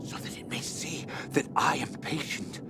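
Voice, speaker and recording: An actor playing an obsessed researcher sliding into messianic madness in a video game audio log, speaking haltingly and broodingly, at times breaking into whispers or cries. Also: male voice, fairly high pitch, background noise, lightly acted. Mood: frustrated, obsessive. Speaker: expedition leader recording audio logs. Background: background music.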